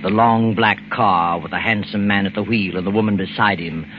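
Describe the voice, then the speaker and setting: Man playing a very deep, sinister radio narrator. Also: steady tone, moderate pace, noisy background, close to an everyday voice. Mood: grave storytelling. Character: sinister, mysterious all-knowing night-walking storyteller. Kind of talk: 1940s radio mystery narration. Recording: dull old radio recording, loud music behind.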